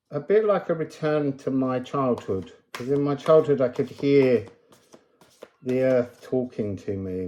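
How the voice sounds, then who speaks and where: Male card reader, unhurried and soft-edged in tone, improvising mystical thoughts with a digressive, musing delivery. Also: fairly high pitch. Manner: musing, digressive, breaks into chanted phrases. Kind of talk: unscripted spiritual reading to viewers.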